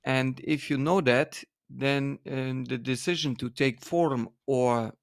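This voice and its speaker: A man with a Dutch accent, Dutch being his mother tongue, speaking English haltingly on a podcast and searching for words. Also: mid-range voice, steady tone, no room sound, clean studio sound. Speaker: podcast guest, dance author. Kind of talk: casual conversation.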